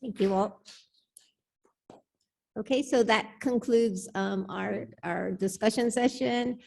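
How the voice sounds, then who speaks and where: A woman's voice: medium pitch, slow pace, vocal fry, a little mellow. A woman moderating a group discussion, giving informal thanks and announcements. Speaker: discussion moderator at a church service.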